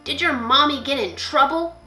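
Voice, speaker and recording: A woman's voice: high-pitched, normal speed, sharp tone, roomy sound, soft background music, voice pushed up. A woman voicing an earnest, literal little boy from a storybook who speaks in short, rule-minded statements. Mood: matter-of-fact. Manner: short, matter-of-fact statements.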